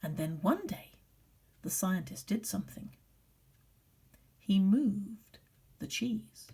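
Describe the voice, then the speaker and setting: Animated woman storyteller, slightly husky. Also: medium pitch. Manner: expressive, deliberate, dropping to hushed tones. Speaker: primary school teacher telling a story. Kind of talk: storytelling for young children.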